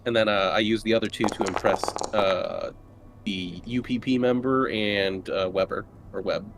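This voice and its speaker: A man's voice: steady tone, normal speed. A man chatting casually as a player at a tabletop roleplaying game. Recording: noisy, with low rumble.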